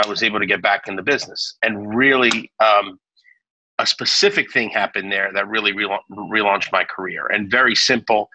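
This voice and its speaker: A man's voice: fairly low pitch, sharp tone, no room sound, low-quality recording. A man in his forties from Naples, Italy, a restaurant owner telling his story in a casual interview, chatty with many restarts and fillers. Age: 40s.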